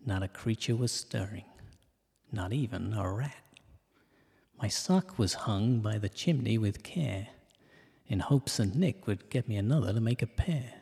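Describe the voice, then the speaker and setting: A man narrating scripted comic rhyming verse in a measured, deliberate storytelling delivery. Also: on the low side, creaky, warm tone, breathy edge. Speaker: narrator of a comic detective podcast. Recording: long reverberant hall echo.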